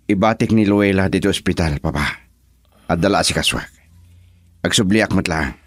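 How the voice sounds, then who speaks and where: An actor playing an earnest, devoted grown son and husband in a radio drama, solemn and measured. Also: male voice, low voice, dry recording, clean studio sound. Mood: troubled. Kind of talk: Ilocano radio drama acting.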